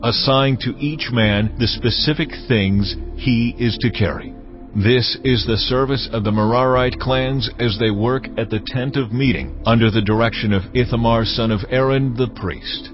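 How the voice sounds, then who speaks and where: The solemn voice of God as played by a man in a dramatized audio Bible. He gives commands with measured authority at a middle pitch. The sound is slightly dull, with music clearly playing behind. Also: lightly acted. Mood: solemn, commanding. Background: clear background music.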